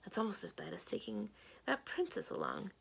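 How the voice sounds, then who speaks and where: A proud young king of a storybook forest, acted out by a woman. He is exasperated and protective, objecting emphatically with animated pitch and a slightly husky grain. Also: fairly quick, heavily acted. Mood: reluctant, huffy. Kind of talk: storybook read-aloud.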